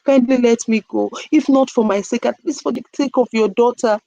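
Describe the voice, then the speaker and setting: A woman's voice: a little high, fairly even intonation, a little fast, gravelly, dark tone, dry recording, muffled recording. A woman voicing a cunning male tortoise in a folktale, a shamed thief insistently begging for mercy. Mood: pleading. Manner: pleading, insistent.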